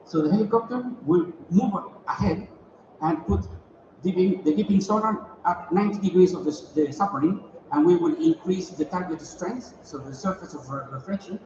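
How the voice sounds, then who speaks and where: Chilean man presenting from far in a hall. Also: high voice. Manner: hesitant, repeats words while explaining slides. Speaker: sonar industry presenter. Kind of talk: conference presentation. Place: large conference hall. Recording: distant echoey hall, dull and noisy.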